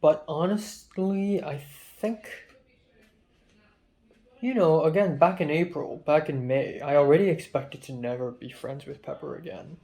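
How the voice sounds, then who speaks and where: Young Hong Kong English-accented male vlogger; raspy, muffled, mellow, a touch high; rambling, self-deprecating confessions. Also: a little slow. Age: young adult. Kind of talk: confessional vlog talk.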